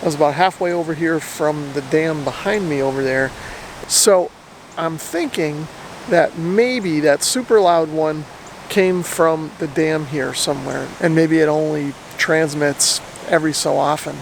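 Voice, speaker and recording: A man's voice: fairly high pitch, measured pace, gravelly, a little hiss or hum, rough recording. A man narrating a casual vlog in an informal, explanatory way, thinking aloud as he goes. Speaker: vlogger, radio hobbyist.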